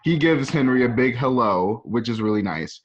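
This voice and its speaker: A man's voice: mid-range voice, lively intonation, normal speed, highs cut off. A man narrating scene directions informally, with casual conversational asides. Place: at home.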